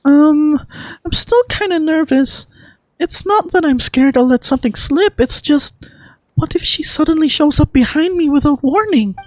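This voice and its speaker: An amateur voicing a nervous, easily startled young girl from a game, flustered and excitable. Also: high voice, normal speed, pitched above the performer's own voice.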